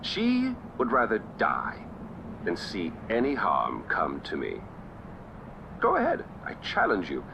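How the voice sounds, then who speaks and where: An actor playing a flamboyant, sardonic, self-assured tyrant king in a video game, with mock-polite phrasing and deliberate pauses. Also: lowish voice, vocal fry, noisy background, heavily acted. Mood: defiant, boastful. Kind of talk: video game cutscene acting.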